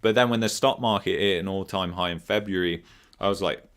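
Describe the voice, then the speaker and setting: A man with a very low, hoarse voice hosts a casual live stream, answering viewer questions. He rambles, loses his train of thought and chuckles now and then. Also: fairly quick, fairly bright tone. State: hoarse from a sore throat. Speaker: finance channel host.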